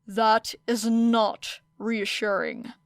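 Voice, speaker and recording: A woman's voice: on the high side, steady tone, drawn-out words, creaky, clear, resonant tone, dry recording, studio quality, exaggerated voice. A woman voicing a stern, exasperated man, a hero teacher who speaks in a curt, reproachful way, in an audiobook story.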